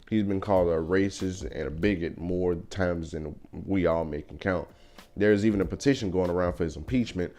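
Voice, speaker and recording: A young man talking through his opinions in a casual vlog, thoughtful and measured, with frequent fillers. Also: low-pitched, darkish tone. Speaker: vlogger. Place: car.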